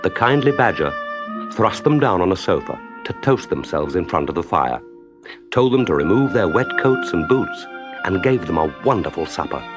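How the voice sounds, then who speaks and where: A man's voice: deep voice, very animated, moderate pace, music in the background. A man reading a scripted children's story as a storyteller.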